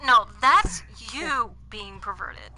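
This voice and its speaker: A woman's voice: fairly high pitch, expressive, slow, bright, forceful tone, poor audio. A woman streamer chatting casually and in a laid-back way while she plays. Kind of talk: casual chat while gaming.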